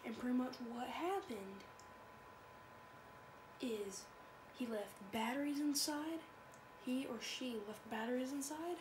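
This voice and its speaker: A woman's voice: sits high, unhurried, pauses often. A woman chatting casually and rambling, repeating phrases as a video blogger browsing at a home computer. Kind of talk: casual chat while browsing online. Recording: noisy amateur home recording.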